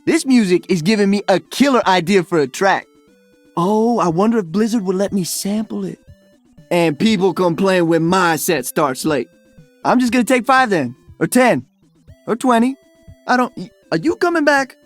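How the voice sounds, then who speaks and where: Upbeat cocky young dj hero in a game, breezy slang, super animated, music under it. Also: male voice, sits high, normal speed, no echo. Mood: playful, teasing. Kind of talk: video game character voice lines.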